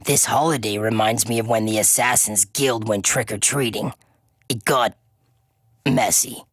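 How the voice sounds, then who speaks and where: A man voicing a wry, mysterious assassin in a video game, with a husky voice. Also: fairly low pitch, a little slow, a bit bright, no echo. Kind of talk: video game character lines.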